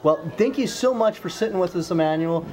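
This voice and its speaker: A man's voice: high-pitched, expressive, a little fast, low-quality recording. A man interviewing guests in an enthusiastic, casual way, often saying wow. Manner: enthusiastic, lots of wows.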